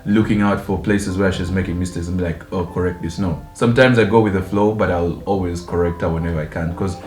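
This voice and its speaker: A young man from Uganda co-hosting a couple's channel, telling their story in a relaxed, chatty way and laughing often. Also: sits low, fast. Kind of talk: casual storytelling chat. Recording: echoey room with guitar music.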